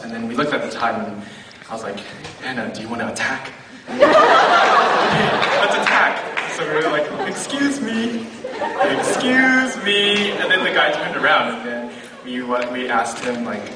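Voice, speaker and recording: A young man giving a testimony to an audience, telling a lively story and acting out the dialogue with laughs. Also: medium pitch, measured pace, over a noisy crowd. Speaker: conference attendee giving testimony. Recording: echoey hall, distant mic, loud noise.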